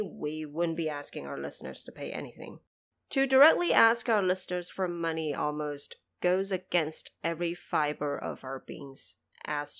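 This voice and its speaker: Low-pitched woman making a scripted announcement. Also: vocal fry. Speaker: podcast host and audio drama producer. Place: bedroom used as a home studio.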